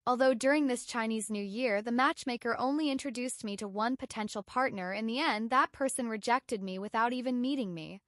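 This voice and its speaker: Woman, thirties, breezy confident read, a touch high, dry sound. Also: a little fast, few pauses, fairly bright tone, dry recording. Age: 30s. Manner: chatty, self-assured, playful. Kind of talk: scripted narration of a clip.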